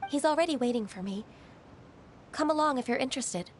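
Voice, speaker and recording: A woman voicing a gentle, earnest young half-divine woman in a video game, speaking calmly and politely. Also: high voice, a little fast, slight background noise. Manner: calm, polite, soft-spoken.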